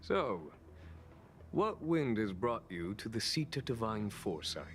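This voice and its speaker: A man playing a calm, unhurried general in a video game, speaking formally and with measured, wry authority. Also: lowish voice, faint music behind. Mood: amused, composed. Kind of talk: scripted video game voice acting.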